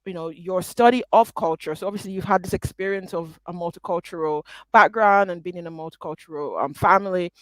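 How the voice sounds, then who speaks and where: A woman's voice: fairly low pitch, a little fast, few pauses, no room sound, rough recording. A woman hosting a live interview, with a slight Aberdonian accent mixed with Nigerian and American traces, speaking in a reflective, conversational way. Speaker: host.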